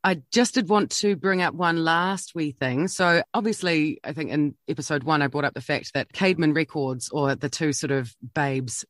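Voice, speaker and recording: A man's voice: fairly high pitch, normal speed, few pauses, a bit bright, dry recording. A man hosting a casual interview, easygoing and affirming. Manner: easygoing, affirming, loose filler words. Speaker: podcast host.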